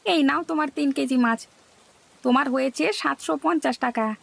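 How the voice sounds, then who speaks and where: Woman doing a high creaky cartoon village fish seller guy, naive, eager, kinda simple. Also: normal speed, fairly bright tone, exaggerated voice. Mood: insistent. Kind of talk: cartoon dialogue.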